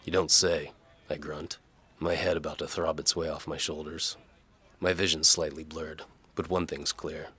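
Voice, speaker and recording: A man's voice: a bass voice, little pitch movement, moderate pace, pushed and bright, husky, full character voice. A man playing a sardonic, world-weary bow for hire, narrating his own story in noir audio drama style.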